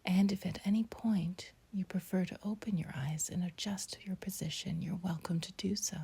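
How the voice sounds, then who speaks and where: A woman guides a meditation in a very husky, breathy voice that sits close to a whisper. Her tone is mellow and on the low side, with a creaky edge. She delivers short, gentle phrases and leaves long pauses between them. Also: steady tone.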